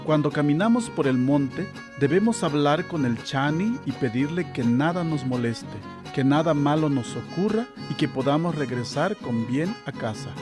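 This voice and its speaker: A man narrating a scripted legend in a calm, measured way. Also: a little high. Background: guitar music.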